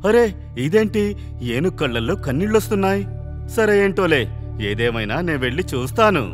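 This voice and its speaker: A man playing a kindly, excitable vegetable farmer in a children's cartoon, speaking in an expressive, earnest way. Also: sits high, a little fast, faint music behind. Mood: alarmed, puzzled. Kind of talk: animated children's moral story dialogue.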